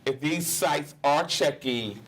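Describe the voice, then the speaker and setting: A man's voice: on the high side, takes it slowly, a bit bright. Agreeable man on a talk panel. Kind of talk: casual panel discussion.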